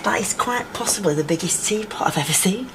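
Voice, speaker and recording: An actress playing a sensible, dry-witted miner's wife in a radio drama. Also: female voice, medium pitch, expressive, fairly quick, close to an everyday voice. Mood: dryly amused. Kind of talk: naturalistic radio drama acting. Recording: clear background noise.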